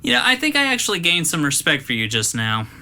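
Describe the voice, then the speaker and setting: Sharp, surly man playing a mocking teen boy. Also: a little high, wildly swooping pitch, fast, full character voice. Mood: wary, mocking. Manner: blunt, sneering, slangy. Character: surly, mocking, foul-mouthed teenage cousin. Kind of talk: audio drama dialogue.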